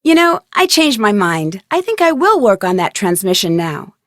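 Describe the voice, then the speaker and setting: A woman's voice: medium pitch, big pitch swings, normal speed, no echo, clean studio sound, lightly acted. A woman voicing a young female amateur detective in an adventure video game, polite, earnest and curious. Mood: friendly, curious. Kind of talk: scripted adventure game dialogue.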